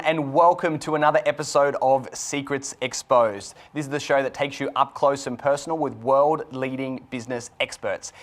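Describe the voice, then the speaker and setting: A man hosting an interview show, introducing guests and asking questions. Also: mid-range voice.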